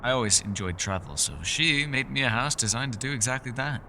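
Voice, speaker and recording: A man's voice: mid-range voice, a little fast, sharp tone, slight background noise. A man voicing a mysterious, playful elf scholar in a fantasy ASMR roleplay, speaking in genteel, theatrical, formal phrases. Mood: proud, explanatory. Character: mysterious, courteous, playful male elf scholar living alone. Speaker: voice actor. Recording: rain, thunder and storm ambience behind. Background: rain and thunder sound effects.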